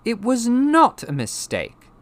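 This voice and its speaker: Audiobook boy hero, played by a male narrator: young, defiant, pitched up, bright and insistent. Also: wildly swooping pitch, extremely slow, heavily acted. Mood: indignant. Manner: demanding, insistent. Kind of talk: audiobook character voice.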